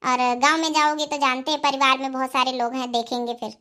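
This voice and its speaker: A woman's voice: sits high, level tone, fast talker, sharp tone, clean tone. A woman voicing a vain, defiant young schoolteacher wife in a cartoon comedy, with a sassy, haughty manner. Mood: coy.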